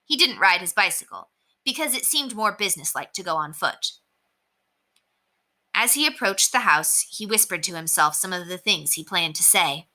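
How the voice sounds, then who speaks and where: A woman teacher reading a children's chapter book aloud in an expressive storytelling manner. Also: fairly low pitch, normal speed. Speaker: elementary teacher.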